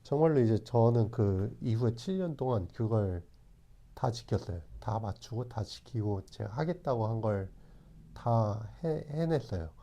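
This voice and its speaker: A man in his forties speaks in a low, very dark tone. He talks calmly and reflectively, pausing often and restarting his sentences as he thinks. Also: vocal fry. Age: 40s. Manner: calm, hesitant, with many restarts. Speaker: channel host. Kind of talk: reflective talk to camera.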